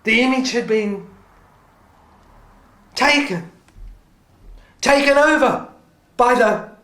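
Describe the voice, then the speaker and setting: A woman delivering a dramatic spoken-word monologue in halting, fragmented phrases that often repeat. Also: fairly high pitch, fairly bright tone. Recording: echoey room.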